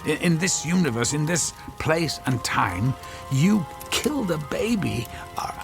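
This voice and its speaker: An actor playing an anxious, guilt-ridden inventor in a radio drama, speaking hesitantly with stammers. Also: male voice, on the high side, big pitch swings, unhurried, vocal fry, over music, full character voice. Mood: desperate, urgent. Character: anxious, guilt-ridden male inventor hopping between dimensions.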